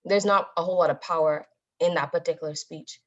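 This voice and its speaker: A woman giving a motivational public talk as a guest speaker, with a deliberate, emphatic, instructive delivery. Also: low voice, fairly even intonation. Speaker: guest speaker, Toastmasters member. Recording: dull, lo-fi audio.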